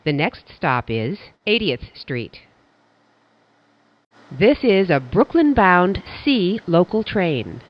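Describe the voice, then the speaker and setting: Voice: mid-range voice, sing-song, slow, lo-fi sound. A subway train announcer reading scripted transit announcements in formal, clipped stock phrases.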